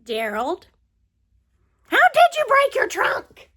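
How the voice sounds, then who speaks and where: An excitable young female pig from a children's storybook, acted out by a woman, full of dramatic curiosity. The voice is bright and forceful, leaps around in pitch, and still takes its time. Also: slow, heavily acted. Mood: astonished, insistent. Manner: exclamatory, dramatic questioning.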